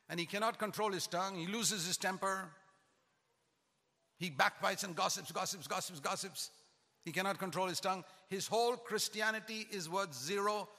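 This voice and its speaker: A man's voice: on the high side, pushed and bright, big-room sound. A man who is a pastor, preaching a sermon with emphatic, repetitive cadence.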